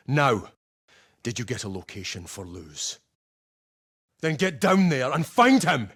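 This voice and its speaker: An actor playing a stern, battle-hardened Space Marine commander in a video game, giving terse, authoritative orders in a gritty voice. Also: male voice, mid-range voice, slightly rough, heavily acted. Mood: angry, barking. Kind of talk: dramatic video game voice acting, mission briefing.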